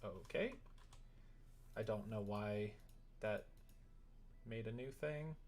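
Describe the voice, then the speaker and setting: Slow-paced streamer thinking aloud, gender unclear. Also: mid-range voice, darkish tone, slight background noise. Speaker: live streamer. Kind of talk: casual livestream chat while working, thinking aloud.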